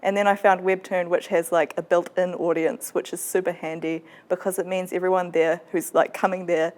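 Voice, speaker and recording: Lower-pitched woman, casual interview guest. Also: flat delivery, moderate pace, darkish tone. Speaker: guest, webcomic creator. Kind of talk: casual chat.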